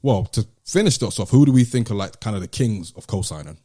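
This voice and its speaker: A man's voice: fairly low pitch, lively intonation, a little fast, mellow, breathy edge. A British man hosting a podcast, debating music casually and emphatically, repeating himself to drive points home. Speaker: podcast host.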